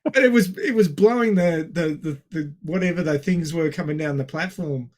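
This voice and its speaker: A man co-hosting a casual discussion, telling anecdotes in a wry, sweary, animated way. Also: a little high, moderate pace. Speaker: podcast co-host.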